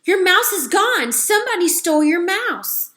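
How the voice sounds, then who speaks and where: A woman voicing an excitable young girl camper who calls out in a shrill, excited way. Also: moderate pace, heavily acted. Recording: roomy echo.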